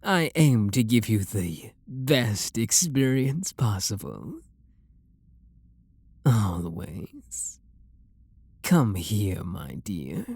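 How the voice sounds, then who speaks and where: Man acting a breathy, slow British vampire lord. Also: lowish voice, big pitch swings, heavily acted. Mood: flirtatious, hungry. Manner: soft, coaxing, flirtatious, unhurried. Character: wealthy refined male vampire lord, possessive, tender, seductive. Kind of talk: intimate ASMR roleplay.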